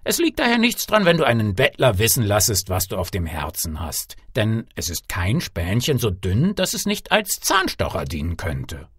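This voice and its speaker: Man playing a husky, shrewd ragged beggar. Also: on the low side, huge pitch swings, moderate pace, hardly any pauses, no room sound, clean studio sound, exaggerated voice. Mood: coaxing. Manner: emphatic, proverb-laden, persuasive. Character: ragged male beggar, shrewd, talkative, kindly advising. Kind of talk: storybook character voice in an audiobook.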